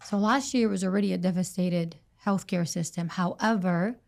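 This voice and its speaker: A woman, a registered nurse, answering interview questions earnestly, with halting restarts. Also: on the low side, vocal fry.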